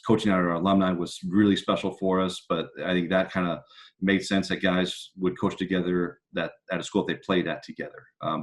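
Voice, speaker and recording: An American man speaking as a football coach, answering interview questions in a casual, reflective and halting way. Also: low-pitched. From California, United States.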